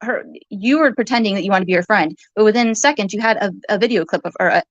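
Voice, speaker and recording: A woman's voice: mid-range voice, fast talker, no room sound. A woman in a heated, informal argument, talking in an accusatory, rambling way and sometimes swearing. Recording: muffled lo-fi audio.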